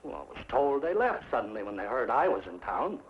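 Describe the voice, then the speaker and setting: An actor playing a smooth, duplicitous town official who speaks in a formal, measured way in a 1950s TV Western. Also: male voice, mid-range voice, sing-song. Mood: formal, evasive. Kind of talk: 1950s television Western acting. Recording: dull, noisy 1950s TV soundtrack.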